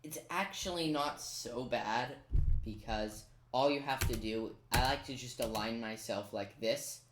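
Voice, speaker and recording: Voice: mid-range voice, measured pace. A person giving casual, chatty video game tutorial commentary, thinking aloud in a self-deprecating way. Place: indoors in a roomy-sounding room at a computer. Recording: roomy echo, keyboard clicking noise. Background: keyboard typing and clicks.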